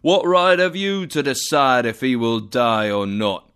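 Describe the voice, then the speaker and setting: A man voicing a stern, solemn spirit who speaks gravely and accusingly. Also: on the low side, lively intonation, takes it slowly, a bit bright, exaggerated voice.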